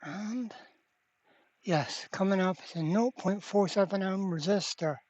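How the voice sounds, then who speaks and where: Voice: mid-range voice, a little slow, a little mellow, dry recording, highs cut off. A person narrating a hands-on repair at a workbench in a casual way, muttering to themselves. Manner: mutters to self, mild swearing. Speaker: electronics repairer presenting a workbench video. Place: indoor workbench.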